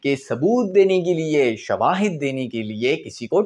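A man's voice: on the high side, very animated, a little slow, darkish tone. A man in his twenties with a Pakistani accent, giving an informal, conversational law lecture sprinkled with English terms. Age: 20s. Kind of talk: informal lecture with acted-out examples. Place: small indoor room in front of a whiteboard.

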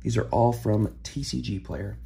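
Hoarse young man with a gravelly voice. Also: fairly low pitch, normal speed. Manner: relaxed, rambling, with frequent ums and throat clearing. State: hoarse, recovering from illness. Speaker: card-collecting channel host. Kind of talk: casual pack-opening chat. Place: home room, filmed over a desk.

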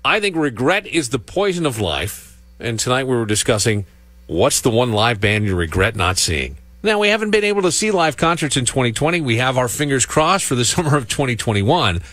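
A man's voice: medium pitch, sing-song, a little fast, fairly bright tone, no echo. A man hosting a rock radio show, chatting conversationally and with animation between songs. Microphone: close studio broadcast microphone. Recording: music playing behind. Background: music.

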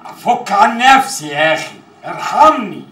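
An actor playing an exasperated, hot-tempered Egyptian TV boss at breaking point in a comedy series, agitated and excitable. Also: male voice, high-pitched, moderate pace. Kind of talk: Arabic comedy series acting. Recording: very echoey, music behind.

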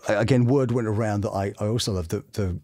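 A middle-aged man telling anecdotes casually as a guest, chatty and full of quick asides. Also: on the low side, mellow. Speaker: voice actor guest. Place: small home studio room, indoors.

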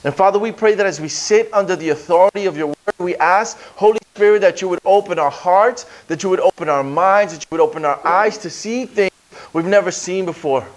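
Energetic pastor. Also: male voice, high voice, fairly quick, poor audio. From Brooklyn, New York. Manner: energetic, conversational, stumbles and restarts. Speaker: guest pastor. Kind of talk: sermon with prayer and scripture reading. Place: small church stage with brick walls. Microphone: handheld microphone.

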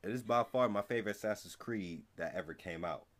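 Low-voiced young American man. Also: fairly quick, a bit bright. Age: young adult. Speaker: reaction video host. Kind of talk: casual reaction commentary. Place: small room at home, indoors.

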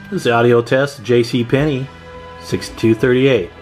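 A man giving a casual, informal hands-on demonstration as a hobbyist, unhurried, recorded on a handheld camera in a room at home. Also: mid-range voice, steady tone, over music. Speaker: CB radio hobbyist showing his equipment. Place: indoor room at home with radio gear. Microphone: handheld camera microphone, speaker off screen.

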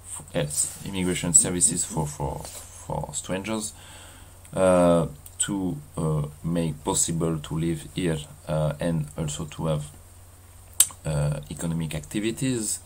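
A man in his thirties with a strong French accent, vlogging outdoors in a halting way with many fillers and restarts. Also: deep voice, a little mellow. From France. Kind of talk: casual walking vlog. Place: outdoors in a seaside mountain town.

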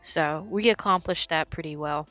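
Woman, lower voice, hoarse from illness, casual gameplay commentary that explains each move; faint music under. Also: normal speed, a bit bright, no echo. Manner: explanatory, talks through her decisions. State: losing her voice from illness.

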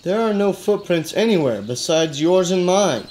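Guy doing a fed-up noir detective for radio theatre, skeptical and slangy, voice a bit on the high side. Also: expressive, normal speed, darkish tone, a little hiss or hum. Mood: stern, skeptical. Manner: weary, skeptical, colloquial. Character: exasperated, hardboiled male detective questioning his cousin. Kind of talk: noir-parody radio drama acting.

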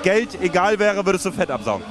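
A man's voice: sits high, very animated, fast talker. A man in his thirties doing casual street interviews in a teasing, chummy, informal way. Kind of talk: casual vox-pop interviewing. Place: large busy nightclub. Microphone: handheld reporter microphone. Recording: loud club music and crowd behind.

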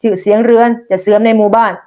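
A woman's voice: mid-range voice, fairly even intonation, racing, warm tone, lightly acted. A woman storyteller plays the headman of a village in a folk tale. He is firm and formal, admonishing with plain authority.

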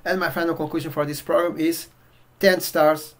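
A middle-aged man from Portugal with a Portuguese accent, walking through a software demo in a relaxed way with many fillers. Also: on the high side, normal speed. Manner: relaxed, filler-heavy walkthrough. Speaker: software reviewer. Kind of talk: casual screen-share demo. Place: indoor room at home.

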